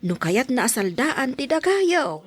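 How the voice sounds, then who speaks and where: A woman acts out an older, concerned grandmother in a podcast drama. Her voice is breathy and worn, swinging widely in pitch as she gives family advice. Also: very animated, a little slow, heavily acted. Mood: advising.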